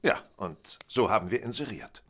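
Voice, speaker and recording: An actor playing a shrewd, self-assured gentleman detective in a radio play, with a husky voice and a wry, deliberate, theatrical delivery. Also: lowish voice, animated. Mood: brisk, explanatory. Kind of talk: German radio play acting.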